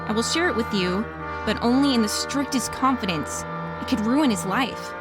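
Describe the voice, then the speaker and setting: A woman's voice: fairly high pitch, normal speed, loud music behind the voice, lightly acted. A woman playing an earnest, admiring female wolf lawyer in a radio drama, speaking in an eager, articulate way. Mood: earnest, confiding.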